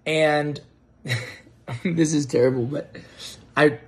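An American man in his thirties telling a story casually to camera, animated and halting as he repeats words. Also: on the high side. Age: 30s. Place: home kitchen, indoors. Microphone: phone propped on table at arm's length.

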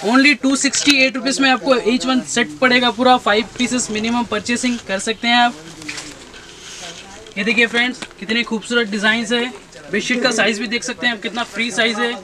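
A young man, a shop owner giving a brisk sales pitch for his goods and addressing viewers as friends again and again. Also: fairly even intonation, bright, forceful tone. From India. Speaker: shop owner showing products. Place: textile shop, indoors.

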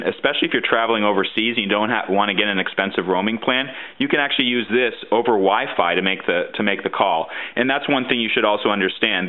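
A man presenting an informal how-to demonstration, explaining things step by step. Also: lowish voice. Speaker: tutorial presenter and guide author. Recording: muffled, phone-like narrow-band sound.

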